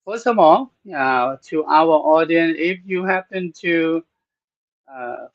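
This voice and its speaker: Thai-accented male podcast host, slow and halting, dry, slightly dull sound. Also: a little high, lively intonation, dry recording. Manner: slow, halting with many "uh" pauses. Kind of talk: unscripted podcast talk.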